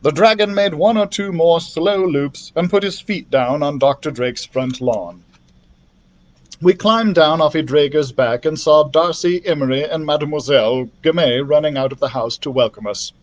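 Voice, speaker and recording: A man reading a children's book aloud as scripted narration, in an expressive storyteller's manner. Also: on the high side, moderate pace, muffled recording. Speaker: library storyteller.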